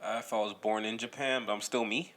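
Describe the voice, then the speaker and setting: A young man co-hosting a podcast, talking casually with frequent profanity and loud laughter. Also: fairly low pitch, fast talker. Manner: profane, laughs loudly, animated joking. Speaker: podcast co-host.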